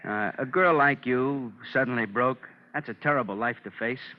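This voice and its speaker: A man's voice: medium pitch, normal speed, natural voice. Sardonic American radio cop, muffled, played by a man. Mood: mocking. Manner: dry, sarcastic. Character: sardonic male police detective needling a suspect, mock-sympathetic. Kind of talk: 1950s radio crime drama acting. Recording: muffled old radio broadcast.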